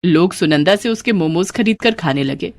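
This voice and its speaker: A woman's voice: on the low side, expressive, normal speed, darkish tone. Woman narrating a cartoon moral story.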